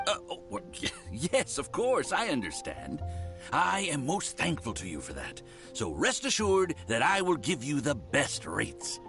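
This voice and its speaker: An actor playing an eager, fawning landlord in a video game who gets flustered and laughs nervously. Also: male voice, on the high side, huge pitch swings, unhurried, gravelly, over music, full character voice. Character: eager, fawning male landlord, middle-aged.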